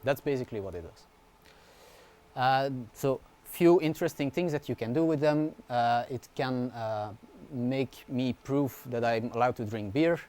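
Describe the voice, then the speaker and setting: A man, a university researcher giving a relaxed conference talk, often checking in with the audience. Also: medium pitch, unhurried. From Belgium. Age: 20s. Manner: casual, informal explaining with frequent "yeah?" and "right?" check-ins; fillers and restarts, light humor.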